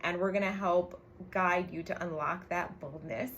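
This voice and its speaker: Lady coach doing a motivational live, lowish voice, punchy and sweary, bit of noise behind her. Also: steady tone, normal speed, a bit bright. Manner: emphatic, frequent tag questions, swears casually. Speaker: brand coach and business co-owner. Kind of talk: motivational livestream talk to camera.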